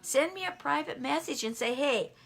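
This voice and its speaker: A woman's voice: high voice, lively intonation, moderate pace, gravelly. A woman giving a motivational talk, emphatic and repeating key lines for effect. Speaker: motivational speaker.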